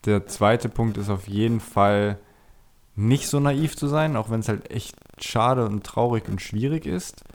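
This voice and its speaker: A man's voice: lowish voice, unhurried. A man speaking as a podcast guest, chatting casually and candidly about himself in a self-critical way. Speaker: podcast guest, video creator. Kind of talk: casual chat, personal anecdotes.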